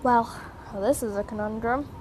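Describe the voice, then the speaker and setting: A woman playing a quick, scatty, optimistic alien time traveller in a fan audio drama, chattering to herself and switching abruptly between worry and pep. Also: on the high side, background noise, natural voice. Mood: apprehensive.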